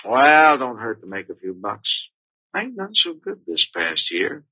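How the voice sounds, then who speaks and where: An actor playing an affectionate, hard-up working-class husband in an old radio drama, speaking in a plain folksy way. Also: male voice, medium pitch, big pitch swings, unhurried, slightly rough, dry recording, exaggerated voice. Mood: tender, weary. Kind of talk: 1940s radio drama acting. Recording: muffled old radio recording.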